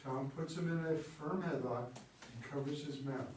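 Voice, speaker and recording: A man's voice: medium pitch, normal speed, darkish tone. A man reading stage directions as scripted narration at a play reading. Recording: very echoey room, distant mic, faint noise.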